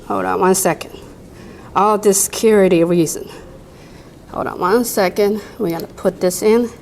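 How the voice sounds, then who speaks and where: A woman with a non-native English accent presents a workshop to a live room. She runs a software demo, talks to the audience directly and laughs often. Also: mid-range voice, steady tone, normal speed, creaky, slight background noise, low-quality recording.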